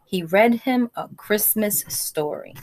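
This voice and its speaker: Unhurried woman reading aloud to children. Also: medium pitch. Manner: expressive, pauses to ask listeners questions. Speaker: read-aloud storyteller for young children. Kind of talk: picture-book read-aloud with conversational asides.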